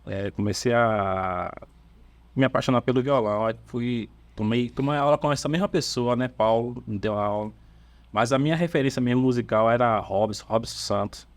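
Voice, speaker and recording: Man, Bahian accent, relaxed halting storyteller, dry close sound, mid pitch. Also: dry recording. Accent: Bahian Portuguese. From Salvador, Brazil. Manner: easygoing storytelling, hesitant fillers and restarts, laughs often. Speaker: bassist of a church worship band, podcast guest. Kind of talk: casual podcast chat.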